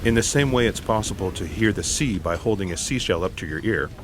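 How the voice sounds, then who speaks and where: An actor playing a serious, methodical special agent in sci-fi audio theatre, deadpan and deliberate. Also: male voice, on the low side, background noise, close to an everyday voice. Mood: deadpan, musing.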